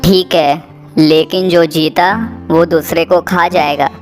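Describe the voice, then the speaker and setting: A woman voicing a sly, boastful male crow in a cartoon moral story, with drawn-out, gloating delivery. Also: lowish voice, fairly even intonation, over music. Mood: scheming. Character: sly, boastful male crow who cheats his friend.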